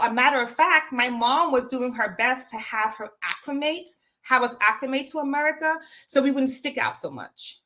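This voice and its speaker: Interview guest: Jamaican-accented woman, bright voice, reflective storytelling full of ums and "right?". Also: fairly high pitch. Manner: reflective, with frequent ums and tag questions of "right?".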